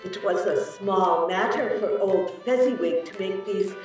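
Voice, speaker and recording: A woman's voice: mid-range voice, measured pace, darkish tone, natural voice. A woman playing a solemn, unearthly spirit in a dramatic reading, stately and commanding. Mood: knowing. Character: solemn, unearthly, childlike yet old female spirit. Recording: very echoey, distant, muffled, music behind.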